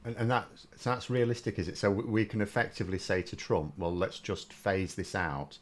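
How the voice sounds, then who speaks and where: A man's voice: on the low side, steady tone, brisk. A man hosting an interview, asking conversational questions and giving brief acknowledgements. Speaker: host, interviewer. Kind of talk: interview and channel sign-off.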